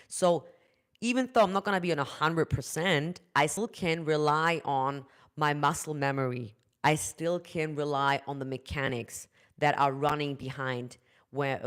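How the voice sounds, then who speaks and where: A German-accented woman, a vocal coach, talking casually to camera about singing. She speaks in a chatty, looping way, restarting and repeating words and tagging her points with quick "right?" checks. English is her second language, and it shows in her phrasing. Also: sits low.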